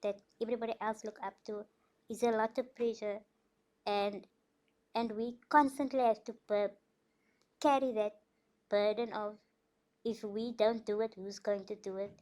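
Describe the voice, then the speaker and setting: A woman's voice: medium pitch, steady tone, moderate pace, long pauses, a little mellow. A woman from South Africa, a dance artist, speaking earnestly on a panel and laughing between thoughts. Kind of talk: panel discussion.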